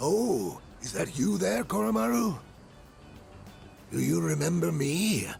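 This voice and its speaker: An actor playing a frail, kindly old man in a video game, with a worn voice and slow, halting speech. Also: on the high side, very animated, darkish tone, faint music behind, heavily acted. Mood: gentle, weary. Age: older. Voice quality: creaky, worn.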